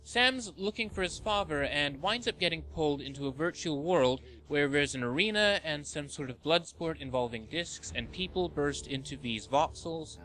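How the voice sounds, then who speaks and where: A man's voice: a little high, animated, normal speed, hardly any pauses, pushed and bright, low-quality recording. A man reading a scripted review narration as the host of a countdown list video.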